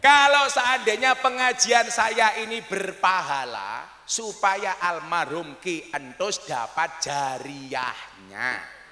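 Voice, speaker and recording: A man's voice: high-pitched, takes it slowly, pushed and bright. A man preaching a comic sermon to a crowd, teasing the audience and slipping into regional dialect for punchlines, on a stage mic. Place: large hall or outdoor stage at a mosque opening. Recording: echoey hall with long reverb.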